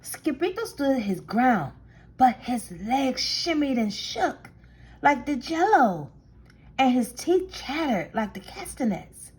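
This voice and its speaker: A woman reading a children's storybook aloud as narrator, with expressive, theatrical and deliberate phrasing. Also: high voice, rough recording. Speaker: storybook reader.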